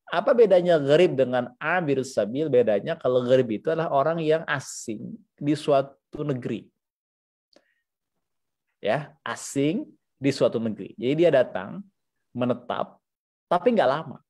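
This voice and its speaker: A man's voice: medium pitch, a little mellow. A man with an Indonesian accent giving an Islamic lecture, reading Arabic phrases and explaining them in a didactic, conversational way with repeated phrases. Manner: didactic, repeats phrases, conversational asides with frequent checking tags. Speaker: Islamic teacher.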